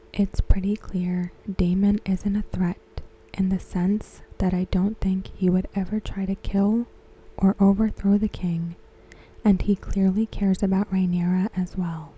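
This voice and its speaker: A woman giving ASMR commentary in a hushed, gentle, breathy, whispery voice. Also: medium pitch, poor audio. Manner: hushed, gentle, unhurried delivery. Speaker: ASMR content creator reviewing a TV episode. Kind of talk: ASMR soft-spoken commentary.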